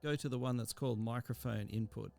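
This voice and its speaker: A man giving an informal software tutorial as an instructor, explaining step by step in a halting way with pauses mid-sentence. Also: medium pitch, gravelly, faint music behind.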